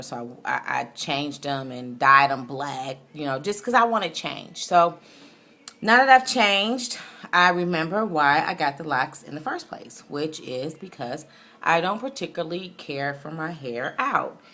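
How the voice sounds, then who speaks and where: A woman in her late thirties with a low-pitched voice, speaking as a video blogger. She rambles in a candid, conversational way, reflecting on herself as she goes. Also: animated, measured pace, bright, forceful tone. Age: late 30s. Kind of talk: casual personal vlog, rambling chat.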